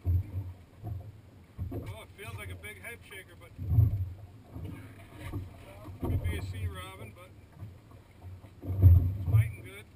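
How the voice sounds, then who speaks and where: A man's voice: high-pitched, a little slow, long pauses. A middle-aged man fishing from a boat, chatting casually with a friend as he reels in. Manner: relaxed, chatty, talks to a friend while reeling. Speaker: angler filming his fishing trip. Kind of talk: casual running commentary. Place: open boat deck on the sea, outdoors. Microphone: fixed action camera on the bow, some distance away. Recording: loud wind, camera mic from a distance. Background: wind and boat noise.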